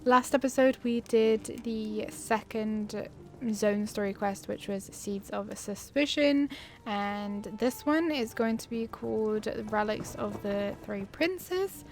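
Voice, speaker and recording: A woman's voice: medium pitch, slow. A woman hosting a gaming video with casual, chatty commentary, thinking aloud informally as she plays. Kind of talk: casual let's play commentary.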